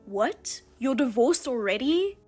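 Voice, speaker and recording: A woman plays a bitter older sister in a voiced drama, cold and firm, keeping her voice flat and restrained. Her voice sits fairly high, with soft music underneath. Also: slow, heavily acted. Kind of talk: narrated drama voice-over.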